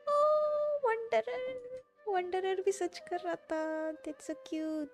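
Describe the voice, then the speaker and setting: A woman with an Indian accent streams a game in a casual, reactive style. Her voice is high, and game music plays faintly behind her. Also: sing-song, a little slow.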